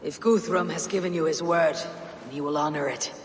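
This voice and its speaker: An actor playing a stern, blunt Viking warrior leader who speaks grimly and firmly, in a video game. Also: male voice, fairly high pitch, moderate pace, in a large hall, a little hiss or hum, natural voice. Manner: grim, firm.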